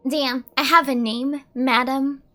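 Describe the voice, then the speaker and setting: Unhurried woman streamer with a cold. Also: high voice, steady tone, bright, forceful tone. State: sick with a cold, coughing. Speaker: streamer, gameplay commentator. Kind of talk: casual gameplay commentary.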